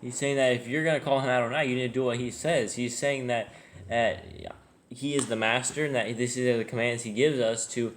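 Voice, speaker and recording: Quick, joking young man in a Bible study. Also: medium pitch, steady tone, a little hiss or hum. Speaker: study participant. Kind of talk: casual discussion.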